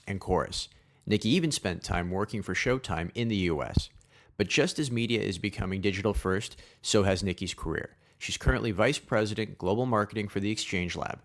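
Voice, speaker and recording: A man's voice: on the low side, fairly bright tone. A man hosting an interview podcast, asking friendly, chatty questions with casual fillers. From Canada. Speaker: podcast host. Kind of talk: conversational interview.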